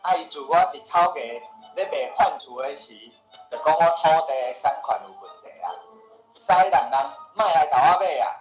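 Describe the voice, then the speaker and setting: An actor with a Taiwanese accent playing a skeptical, boastful house seller who grumbles and argues in a radio drama. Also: male voice, on the high side, expressive, normal speed, close to an everyday voice. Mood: scoffing. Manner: scoffing, exclamatory, argumentative. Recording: roomy echo with music behind.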